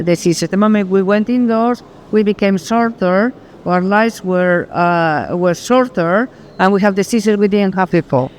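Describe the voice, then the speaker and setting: A woman with a Spanish accent walks listeners through an exhibition she curated. Her delivery is halting and explanatory, at a middle pitch. A clear ambient hum of the gallery sits behind her voice. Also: a little mellow. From Spain. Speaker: exhibition curator, architecture professor. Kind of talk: guided exhibition walkthrough.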